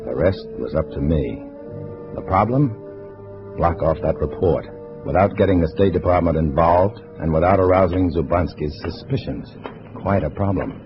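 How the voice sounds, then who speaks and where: An actor playing a cool undercover American agent posing as a comrade, colloquial and composed, in 1950s radio drama style. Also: male voice, deep voice, normal speed, music in the background. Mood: cool, wry. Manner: colloquial, hard-boiled, composed. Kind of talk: 1950s radio drama acting with first-person narration. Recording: dull, noisy vintage radio recording.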